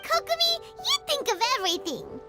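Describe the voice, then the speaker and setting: A woman voicing a tiny, excitable fairy companion in a video game, with a squeaky childlike voice and a perky, cutesy manner. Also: a little fast, over loud music. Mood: admiring, cheerful. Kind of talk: scripted video game dialogue.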